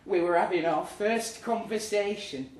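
A British man reads a comic story aloud in an expressive, theatrical storytelling manner at a live pub event. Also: high-pitched, unhurried. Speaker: reader at a live literary event. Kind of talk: dramatic comic story reading to an audience. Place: pub event room, live before an audience. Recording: roomy live pub recording, distant, faint noise. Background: faint room ambience, audience.

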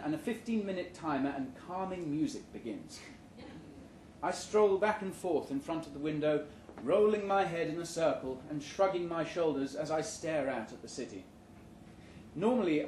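A man giving a live, measured, deadpan reading of satirical fiction at an indoor venue. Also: on the high side. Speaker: short story reader. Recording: roomy, noisy live venue recording.

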